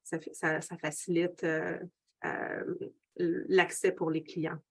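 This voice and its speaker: A woman, an occupational therapist answering a panel question in halting speech. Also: fairly low pitch, little pitch movement, vocal fry. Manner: halting, repeats words.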